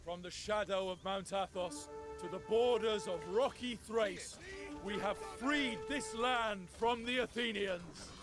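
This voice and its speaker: An actor playing a noble, rousing Spartan general in a video game, speaking like a solemn, grand orator. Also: male voice, high voice, unhurried, over music. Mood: rousing, defiant. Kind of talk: dramatic game cutscene acting.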